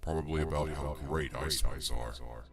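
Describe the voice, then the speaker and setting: A man's voice: extremely low pitch, fairly even intonation, moderate pace, loud music behind the voice. A man playing a brooding, secretive dragon in an audio drama, grumbling and commanding, with a sardonic edge. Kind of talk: fan audio drama acting.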